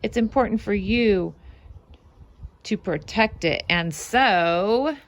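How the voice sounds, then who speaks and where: A woman reading a children's storybook aloud in an expressive, sing-song way, with casual asides to her young listeners. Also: medium pitch, slow pace. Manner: animated, sing-song rhyming delivery. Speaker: children's read-aloud host and fitness instructor.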